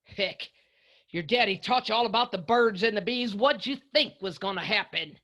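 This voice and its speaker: A woman's voice: medium pitch, moderate pace, a bit bright, muffled recording, natural voice. A woman playing a stern but caring middle-aged mother in a radio drama, plain-spoken and scolding.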